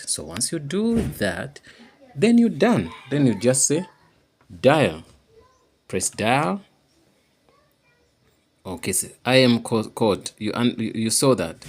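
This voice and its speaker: A man giving an informal, unscripted how-to demonstration, halting and repeating himself as he explains each step. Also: fairly low pitch. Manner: halting and repetitive, often checking understanding. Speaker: tutorial presenter.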